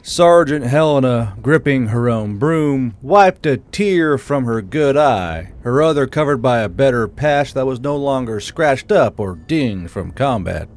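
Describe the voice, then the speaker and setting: A man's voice: medium pitch, lively intonation, measured pace, hardly any pauses. A man reading scripted fantasy story narration as the narrator. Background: background music.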